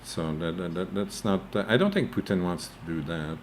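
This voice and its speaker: A man's voice: deep voice, expressive, moderate pace, a little hiss or hum. A man co-hosting a casual chat over a video call, hesitant and tentative, restarting his sentences often. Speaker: co-host.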